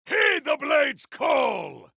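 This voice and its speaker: Battle-hungry video game warrior: forceful, gravelly, theatrical and declamatory, in a very dry recording. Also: male voice, very high-pitched, huge pitch swings, extremely slow, no echo, heavily acted. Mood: battle fury. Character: proud, battle-hungry warrior with grandiose, formal speech. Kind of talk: video game combat barks.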